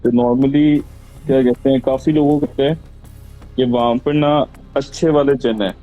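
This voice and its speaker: A man's voice: medium pitch, fairly even intonation, moderate pace. A man casually sharing a personal story as a guest. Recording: dull band-limited audio, background music. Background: music under the voice.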